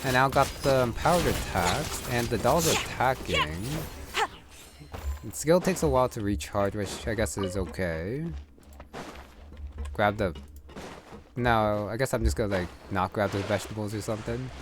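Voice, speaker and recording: A low-voiced male gamer talks through gameplay casually. He muses aloud in an unscripted way, with faint music behind him. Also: lively intonation, moderate pace, pauses often. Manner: unscripted, musing aloud to himself. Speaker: gamer, streamer. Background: game music.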